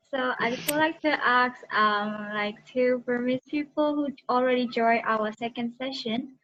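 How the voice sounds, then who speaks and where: A woman's voice: fairly high pitch, a bit bright. A woman hosting an online talk session, polite and hesitant, with lots of fillers. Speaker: host. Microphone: video call. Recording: dull lo-fi video call with faint noise.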